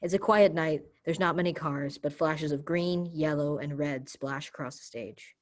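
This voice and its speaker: A woman's voice: low-pitched, normal speed. A woman giving scripted narration, reading stage directions for a play reading.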